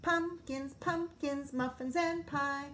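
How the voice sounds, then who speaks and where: A woman voicing an excitable, clumsy young boy frog from a storybook, exclaiming eagerly. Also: high-pitched, slow, a little hiss or hum, pitched above the performer's own voice. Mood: cheerful.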